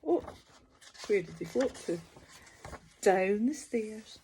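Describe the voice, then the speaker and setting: A woman reading a children's picture book aloud as a bedtime storyteller, expressive and sing-song, putting questions to young listeners. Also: medium pitch, takes it slowly, a little hiss or hum.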